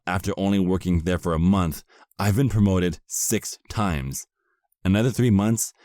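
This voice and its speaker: A man's voice: low voice, darkish tone, dry recording, studio quality. A man playing a put-upon vampire husband in a comedic audio roleplay, complaining in a sardonic, hesitant way.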